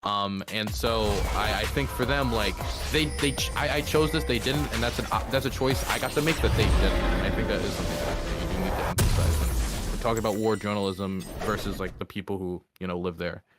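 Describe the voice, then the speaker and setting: Rambling man over loud music. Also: on the low side, brisk. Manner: rambling with many fillers and restarts. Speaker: war journalist guest. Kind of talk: casual conversation.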